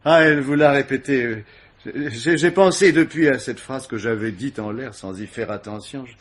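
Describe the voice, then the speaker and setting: An actor playing an urbane, witty, self-absorbed older gentleman of leisure in a radio mystery, speaking with mannered, ironic asides. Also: mid-range voice, normal speed, lightly acted. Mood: evasive, ironic. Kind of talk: vintage French radio mystery acting.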